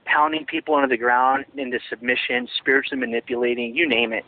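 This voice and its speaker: A man's voice: medium pitch, fairly even intonation, quick pace. A man giving a phone interview, talking in a rambling, emphatic way with many restarts. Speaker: interviewee, former associate of a ministry. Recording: tinny phone line, dry.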